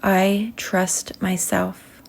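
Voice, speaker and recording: Very slow, creaky-voiced woman guiding meditation. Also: mid-range voice, flat delivery. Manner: slow, soothing delivery with long pauses between short phrases. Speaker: meditation guide. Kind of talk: guided meditation.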